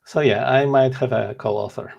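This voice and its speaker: A man in his forties from Poland, whose first language is Polish, speaks with a gravelly, creaky edge at a middling pitch. He talks earnestly and openly as a guest author in a casual interview. He is candid and a little self-deprecating. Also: moderate pace, a little mellow, highs cut off. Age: 40s.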